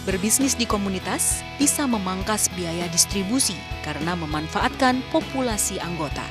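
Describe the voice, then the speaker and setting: A woman narrates a news feature in a steady, measured read at an ordinary pitch. Music plays clearly beneath her voice-over. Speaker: reporter.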